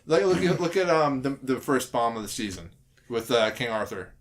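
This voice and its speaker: A man's voice: medium pitch, lively intonation, normal speed. Male podcast panelist giving casual opinions.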